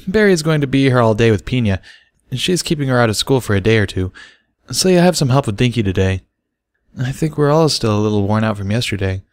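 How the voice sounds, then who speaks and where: A man's voice: mid-range voice, lively intonation, fast, dry recording, pitched above the performer's own voice, natural voice. A man voicing a sweet, motherly pegasus mare with an affectionate, playfully bossy manner for a storybook. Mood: teasing, affectionate.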